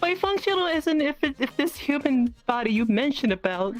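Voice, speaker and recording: A woman improvising as a naive, curious, easily flustered young woman in a fantasy roleplay, speaking haltingly. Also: high-pitched, big pitch swings, faint music behind, low-quality recording. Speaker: roleplayer in an online fantasy game.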